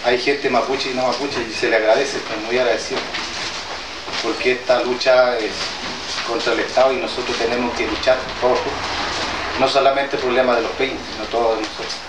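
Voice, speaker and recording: Halting man, far off in an echoey hall. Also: medium pitch, little pitch movement. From southern Chile. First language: Mapudungun. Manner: halting, hesitant, plain-spoken with many restarts. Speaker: traditional healer addressing a gathering. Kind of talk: informal public address. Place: indoor meeting hall with an audience. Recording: roomy, distant and noisy.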